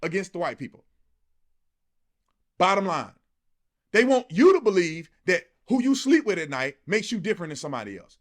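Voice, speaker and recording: Male political commentator, high-pitched and animated, emphatic colloquial delivery with rhetorical punch. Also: moderate pace, pauses often.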